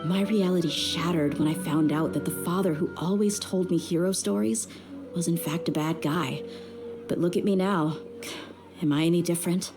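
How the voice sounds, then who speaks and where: A woman's voice: on the low side, normal speed, music in the background, natural voice. A woman playing a tough, candid young desert mercenary in a video game, speaking frankly and reflectively. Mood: bitter, reflective.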